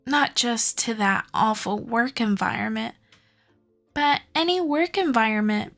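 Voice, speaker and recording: A woman's voice: a little high, heavily acted. A woman playing an obsessive, sweetly possessive young kidnapper in love, coaxing and doting in an ASMR audio roleplay. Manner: doting, coaxing, softly controlling.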